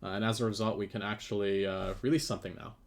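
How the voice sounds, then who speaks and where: A man's voice: fairly low pitch, steady tone, fast talker, vocal fry. A man presenting his game development work in a casual live stream, hesitant and thinking aloud as he works. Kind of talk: casual live stream chat while demonstrating.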